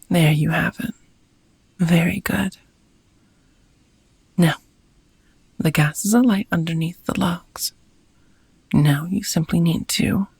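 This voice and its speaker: A woman playing a gentle, courteous female vampire roommate in an intimate ASMR roleplay, speaking in a tender, softly formal, breathy half-whisper. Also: lowish voice, no room sound, exaggerated voice.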